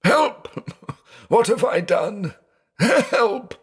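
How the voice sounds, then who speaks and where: A man's voice: sits low, very animated, measured pace, heavily acted. A man voicing a terrified blind man alone at night, frantic and pleading, breaking into shrieks. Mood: panicked screaming.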